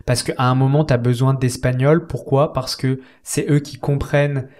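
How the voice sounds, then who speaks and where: A man from the French Alps, a podcast guest, chatting casually with frequent pauses and filler words, curious and thoughtful. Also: medium pitch, little pitch movement, darkish tone. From French Alps, France. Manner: hesitant, many fillers and restarts, curious. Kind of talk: casual conversation.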